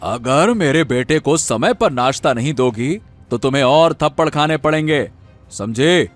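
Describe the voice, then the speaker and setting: A man playing a stern, suspicious middle-aged landlord patriarch in a cartoon moral story, gruff and commanding. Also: fairly high pitch, animated, unhurried, soft background music. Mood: threatening.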